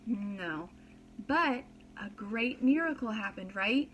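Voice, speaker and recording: Preschool teacher lady reading a kids book, slow n dramatic, bright highish voice, faint noise. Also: lively intonation, poor audio. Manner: dramatic, simple questions to young children. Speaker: pre-K teacher reading aloud. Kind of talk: expressive children's storybook read-aloud with asides.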